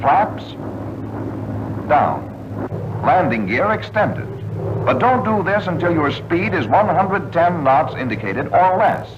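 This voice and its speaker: A man reading scripted instructional narration for a vintage military training film, in a measured, instructive manner. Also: a little high, very animated. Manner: measured, instructional delivery with clipped checklist phrasing. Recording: dull, noisy old film soundtrack, aircraft drone. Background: aircraft engine noise.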